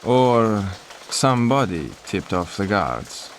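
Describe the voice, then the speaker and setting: A suspicious Polish army officer in a game cutscene. His voice is low, and he speaks curtly and with stiff formality, severe and wary. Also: sing-song, takes it slowly, slight background noise, full character voice. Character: distrustful, severe Polish army major, escape leader. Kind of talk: video game cutscene acting.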